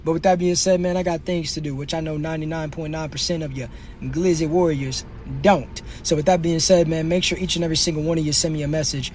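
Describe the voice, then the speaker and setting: A man's voice: fairly high pitch, fairly even intonation, fast, darkish tone, a little hiss or hum. A young man hosting a comedic livestream, trash-talking commenters in brash, slangy bursts. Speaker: livestream host.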